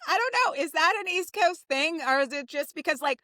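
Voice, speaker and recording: Woman podcast guest, American accent, higher forceful voice in a dry recording, effusive, rambling, casual. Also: brisk, no room sound. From New Jersey, United States. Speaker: podcast guest, theater writer and director.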